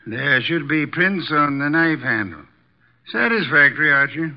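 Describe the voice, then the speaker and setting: An actor playing an imperious, precise detective mastermind with pompous, formal diction in 1950s radio drama style. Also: male voice, on the high side, expressive, a little slow. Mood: brisk, commanding. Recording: dull old radio broadcast recording.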